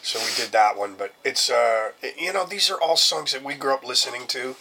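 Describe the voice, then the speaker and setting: A man in his fifties with a raspy but bright, forceful voice talks loosely about making music. His pitch sits in the middle for a man. He tells stories with energy and hums out guitar riffs along the way. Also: fairly quick, slight background noise, rough recording. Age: 50s. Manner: animated storyteller who hums out riffs. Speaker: singer in a band, guest. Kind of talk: casual interview chat.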